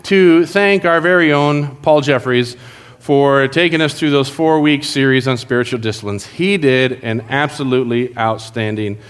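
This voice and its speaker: A man's voice: medium pitch, normal speed, clean tone. Man preaching in a reverberant church. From South America. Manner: jokey, conversational, addresses congregation directly. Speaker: pastor. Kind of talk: sermon. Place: church sanctuary.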